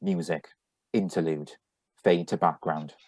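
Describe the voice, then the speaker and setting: A man with a husky voice reading out script cues and stage directions. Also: low-pitched, steady tone, unhurried, darkish tone. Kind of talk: flat scripted cue reading.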